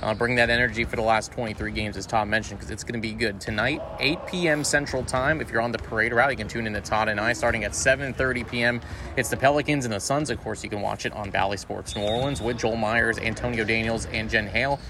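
A man's voice: on the low side, fairly even intonation, quick pace. A man hosting a sports podcast in an upbeat, conversational style. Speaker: podcast host, sports broadcaster. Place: sports arena before a game. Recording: clear urban ambient background noise.

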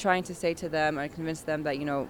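A woman, a national team head coach, answering interview questions in a determined, energetic way with many fillers. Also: low-pitched, rapid-fire, a little hiss or hum. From United States.

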